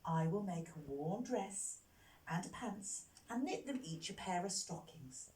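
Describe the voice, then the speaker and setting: A woman's voice: fairly low pitch, lively intonation, slow pace, a little mellow. A woman voicing a kindly, caring shoemaker's wife in a storybook, speaking earnestly. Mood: grateful, caring. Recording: roomy echo, distant mic, faint noise.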